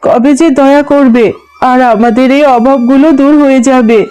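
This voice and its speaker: A woman voicing a poor widowed mother in a children's cartoon, gentle and lamenting in a tender way. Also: sits high, fairly even intonation, normal speed, clean tone, lightly acted. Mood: sorrowful.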